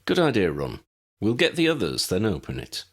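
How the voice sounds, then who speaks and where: A man voicing a calm, practical young wizard who leads a team, in measured, matter-of-fact audiobook dialogue. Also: on the low side, slightly rough, heavily acted.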